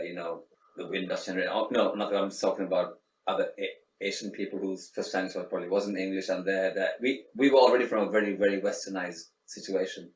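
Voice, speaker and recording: Low-voiced Sri Lankan-born man, hesitant. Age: middle-aged. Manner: hesitant, many restarts and fillers. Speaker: interviewee. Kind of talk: reflective casual conversation. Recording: echoey room, faint background noise, mic at a distance.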